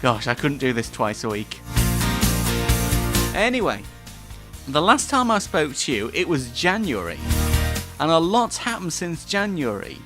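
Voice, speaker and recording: A man's voice: a little high, very animated, measured pace, pauses often, over loud music. A man hosts an online show in a casual, rambling, self-deprecating live monologue.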